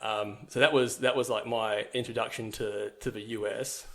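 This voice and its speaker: A man's voice: fairly low pitch, fairly even intonation, moderate pace. Rambling man telling his life story. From New Zealand. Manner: rambling, with many fillers and restarts. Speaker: podcast guest. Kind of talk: casual storytelling chat.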